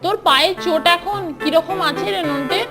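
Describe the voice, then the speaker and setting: Loyal young cartoon schoolboy played by a woman: very high voice, casual and helpful, loud music underneath. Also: fairly quick. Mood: friendly. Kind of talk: Bengali children's cartoon dubbing.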